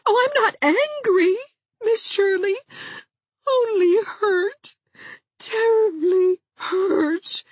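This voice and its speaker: A woman's voice: extremely high pitch, measured pace, dry recording. Woman doing a weepy, melodramatic young woman. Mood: wounded. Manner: tearful, theatrical, sobbing delivery. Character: melodramatic young woman, heartbroken and self-pitying. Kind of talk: dramatized audiobook reading. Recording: tinny phone-like dry sound.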